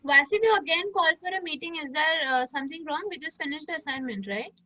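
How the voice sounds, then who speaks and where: A woman's voice: high-pitched, animated, quick pace, bright, forceful tone, dry recording, soft background music. A woman from West Bengal, a student who organizes her group's project meetings. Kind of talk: student role-play video.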